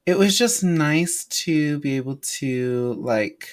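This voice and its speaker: A man's voice: on the high side, lively intonation, slow pace. A man behind the camera joining a casual chat, rambling and filling his talk with "like". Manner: rambling, fills with "like" a lot. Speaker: camera operator and co-host.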